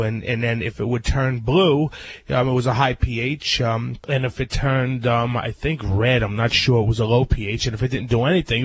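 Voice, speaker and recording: A man's voice: medium pitch, husky, no echo, low-quality recording. A man with a nasal, congested voice who is a guest dog groomer, giving casual advice in a chatty, rambling way with many fillers. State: congested from a cold.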